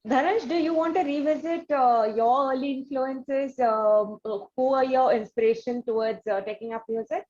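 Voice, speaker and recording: A woman with an Indian accent speaks in a high voice as an interview host. She words things carefully and at length, in a conversational way. The audio is dull and slightly lo-fi. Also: normal speed, few pauses, a little mellow.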